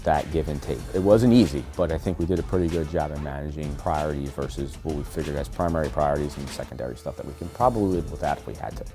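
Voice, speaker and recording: An American man with a very deep voice talks at a quick clip over background music. He sounds like a deputy fire chief explaining equipment, plainspoken and methodical. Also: mellow. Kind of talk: explanatory equipment walk-around interview.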